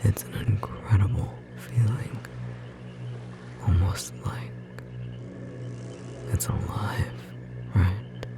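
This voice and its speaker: A performer voicing a confident, tender storm sorcerer partner in a whispered ASMR roleplay, breathy and slightly rough, guiding slowly and soothingly. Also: sits low, loud music behind the voice, full character voice. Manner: slow, soothing, intimate guiding delivery.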